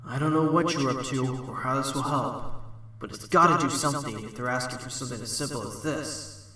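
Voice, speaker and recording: An actor playing an earnest, easily flustered young defense lawyer in an audio drama, dramatic and urgent. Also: male voice, mid-range voice, a little fast, sharp tone, natural voice. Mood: puzzled, hopeful. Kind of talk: audio drama acting with inner monologue. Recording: heavily echoing voice, music behind.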